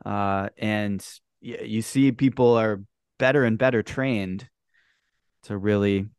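A man hosting a casual conversation, speaking in an emphatic, drawn-out way. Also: fairly low pitch, darkish tone, clear, resonant tone, no echo, clean studio sound.

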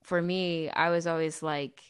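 Young woman, lowish clear smooth voice, emphatic, animated casual talk. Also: a little slow. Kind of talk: casual discussion.

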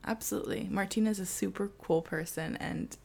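A woman co-hosting a podcast, speaking softly and giggling. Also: medium pitch, fairly quick, vocal fry. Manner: soft-spoken, giggly. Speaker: podcast co-host. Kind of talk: casual podcast chat.

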